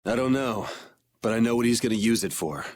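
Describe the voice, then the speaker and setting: A man's voice: mid-range voice, fairly quick, no echo, close to an everyday voice. An actor playing a cool, irreverent young swordsman with a casual, sardonic manner in a video game. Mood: resolute.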